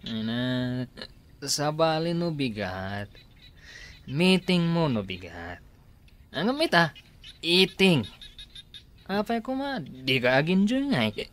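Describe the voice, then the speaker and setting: A man's voice: a little high, sing-song, slow, pauses often, exaggerated voice. A man in a radio drama playing a boisterous, pushy drinking buddy who laughs and teases. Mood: teasing. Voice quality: loud, laughing, teasing.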